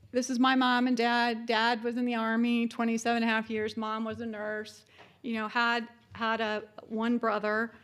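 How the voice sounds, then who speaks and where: A woman's voice: on the high side, fairly bright tone. A woman, giving a conference keynote in a chatty way with many fillers. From born in Japan, military family. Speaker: keynote speaker.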